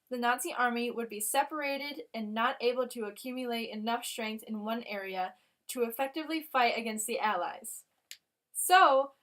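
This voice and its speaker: Higher-voiced woman reading newsreel narration. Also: fairly bright tone. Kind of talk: scripted newsreel narration, read aloud.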